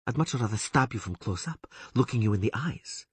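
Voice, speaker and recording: A man's voice: mid-range voice, lively intonation, brisk, husky, dry recording, pitched above the performer's own voice. A man reading a story, voicing a cruel, spiteful young female elf warrior who hisses her taunts. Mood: hissing contempt. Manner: hissing, contemptuous taunts.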